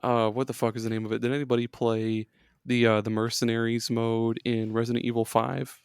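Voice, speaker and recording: Gravelly, profane man chatting on a podcast. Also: medium pitch, flat delivery, brisk, no echo, studio quality. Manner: rambling, swears a lot, many fillers. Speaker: podcast guest. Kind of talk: casual gaming chat.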